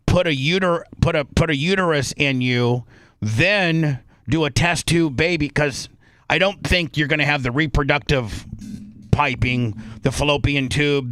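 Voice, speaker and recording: A man's voice: medium pitch, sharp tone, no room sound. A man speaks as a talk radio host in a mid-range voice, recorded dry with no room sound. He comments casually, circling back over his phrases and restarting as he explains.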